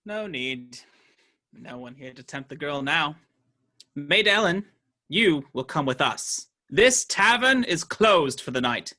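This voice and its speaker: An actor playing a pompous, menacing, domineering high sheriff in a radio play. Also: male voice, very animated, slow, sharp tone, heavily acted. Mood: smug. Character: haughty, menacing High Sheriff of Nottinghamshire, male.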